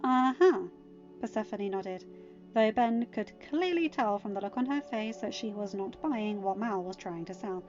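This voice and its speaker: A woman's voice: medium pitch, animated, moderate pace, a little mellow. A woman narrating a story from a script, as in an audiobook. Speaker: podfic narrator. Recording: dull lo-fi home recording, music underneath. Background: music.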